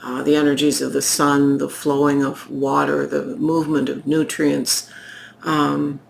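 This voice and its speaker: A woman giving a tarot reading in an unhurried, reflective way, her voice croaky from recent illness. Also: deep voice, monotone. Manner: slow, reflective, pausing, with fillers. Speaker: tarot reader. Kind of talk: unscripted spoken tarot reading.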